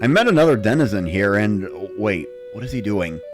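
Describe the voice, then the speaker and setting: A man narrating in the first person with an expressive, dramatic delivery. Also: on the low side, brisk, over loud music. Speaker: narrator of a dramatic reading series.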